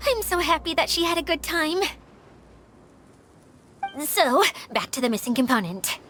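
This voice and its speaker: A woman voicing an easygoing, kindly spirit in a video game, with a breezy and expressive delivery. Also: sits high, measured pace, slight background noise, exaggerated voice. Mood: warm, explanatory.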